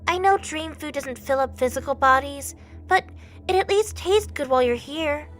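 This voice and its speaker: A woman's voice: high voice, moderate pace, fairly bright tone, faint music behind. A woman playing a small, meek, doting young male godling demon in an audio drama, with a deferential, pleading delivery. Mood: sweet, attentive. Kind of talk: audio drama character acting.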